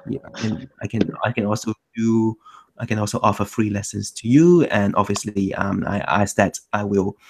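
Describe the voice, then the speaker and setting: A man's voice: lowish voice, vocal fry. A man hosting an online language meetup in a loose chat, restarting his sentences often. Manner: loose, self-correcting, many restarts. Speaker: meetup host. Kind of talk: casual chat.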